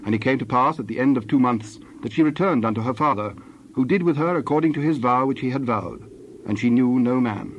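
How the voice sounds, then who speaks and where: A man's voice: medium pitch, fairly quick. Solemn man narrating, slightly dull sound. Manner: solemn, formal. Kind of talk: scripted Bible narration. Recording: dull lo-fi with faint noise.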